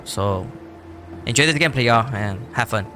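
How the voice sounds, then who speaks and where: A man losing his voice from illness talks casually to his viewers, apologetic and rambling, clearing his throat often. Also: medium pitch, animated, normal speed, pushed and bright, soft background music. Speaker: gameplay YouTuber. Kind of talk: casual talk to viewers before gameplay.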